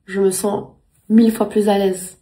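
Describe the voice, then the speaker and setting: A woman's voice: mid-range voice, little pitch movement, a little slow, dark tone, poor audio. A woman in her thirties, a native Spanish speaker, talking casually to camera at home and repeating words as she looks for the right phrasing. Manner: earnest, repeats words while searching for phrasing. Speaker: vlogger.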